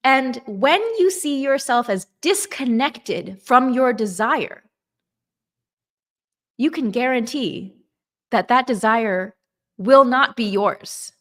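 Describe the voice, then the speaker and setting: Woman coach talking slow and emphatic, kinda high voice, motivational vibe. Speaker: manifestation coach. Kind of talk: motivational talk.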